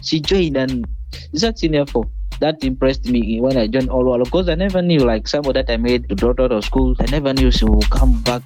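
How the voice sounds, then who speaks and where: A young man with a Ugandan accent gives an informal personal account. His voice sits at an average pitch, and there is faint noise in the recording. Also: a little fast, darkish tone. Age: young adult. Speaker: youth group member giving testimony.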